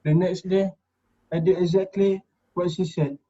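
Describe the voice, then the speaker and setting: A dull, lo-fi recording of a man acting as a guilty male student. The tone is very soft-edged and dark, set fairly high. He confesses in a halting, subdued manner for a stage reading. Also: steady tone. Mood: subdued confession. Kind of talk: amateur reader's theater acting.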